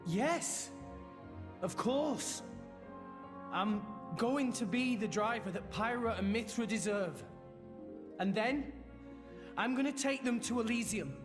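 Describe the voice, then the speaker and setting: A man's voice: very high-pitched, lively intonation, slow pace, pauses often, full character voice. A man playing an earnest, determined teenage boy in a video game, speaking with eager emphasis. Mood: resolute. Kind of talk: video game cutscene acting. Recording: long reverb, music behind.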